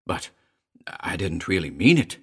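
A man's voice: sits low, big pitch swings, measured pace, fairly bright tone, husky, heavily acted. A man playing an anguished, haunted man in a horror story, with a choked and overwrought delivery. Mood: desperate rage. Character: horrified, anguished man haunted by his familiar.

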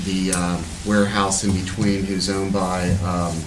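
A man's voice: sits low, level tone, measured pace. A man briefing a township board at a public meeting, matter-of-fact and explanatory. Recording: distant mic in an echoey, noisy room.

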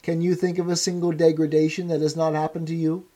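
A man's voice: fairly high pitch, flat delivery, dark tone, close to an everyday voice. A man doing a cold, menacing interrogator. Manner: cold, measured, commanding. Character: cold menacing male interrogator, coolly contemptuous.

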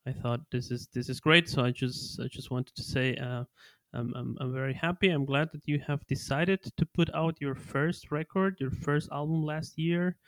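Eager podcast host, stammering and dry. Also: male voice, on the high side, moderate pace, fairly bright tone, no room sound. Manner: enthusiastic, effusive praise, stammering restarts. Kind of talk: podcast interview.

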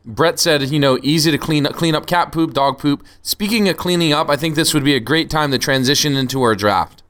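A man's voice: on the high side, brisk, fairly bright tone. A man co-hosting a podcast, upbeat and steering the chat to the next segment. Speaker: podcast co-host.

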